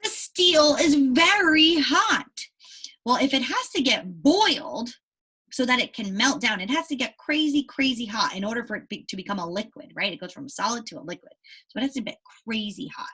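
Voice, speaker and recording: Animated, bright-voiced woman teaching young children. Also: a little high, moderate pace, husky. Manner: instructive, checks understanding with "right?" and questions. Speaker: elementary school teacher. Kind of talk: reading a children's book aloud and explaining it to pupils.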